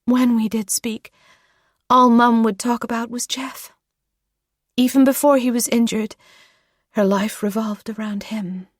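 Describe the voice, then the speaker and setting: A woman voicing a grieving adult daughter with an American accent, speaking softly and wearily, often half-whispered. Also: on the high side, steady tone, a little slow, pauses often, no echo, clean studio sound, voice pushed up. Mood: weary.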